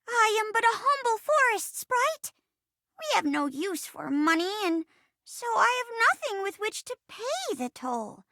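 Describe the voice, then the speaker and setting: A woman's voice: extremely high pitch, very animated, unhurried, sharp tone, no echo, clean studio sound, full character voice. A woman voicing a small, earnest, plucky young female forest sprite in a video game, speaking in grand, melodramatic mock-archaic phrases. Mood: earnest, pleading. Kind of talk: theatrical fantasy role-play in a video game.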